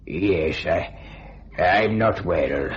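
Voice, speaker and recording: An actor playing a frail, elegant old aristocrat who speaks haltingly and politely, in a radio thriller. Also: male voice, low-pitched. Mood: frail, hesitant. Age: older. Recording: dull old radio recording, faint noise.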